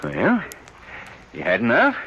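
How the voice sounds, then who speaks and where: An actor playing a flustered, folksy small-town American fair official who stammers and fusses, in 1950s radio western style. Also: male voice, medium pitch, wildly swooping pitch, slow pace, sharp tone, a little hiss or hum, heavily acted. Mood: genial, bustling. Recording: dull vintage radio recording with crowd.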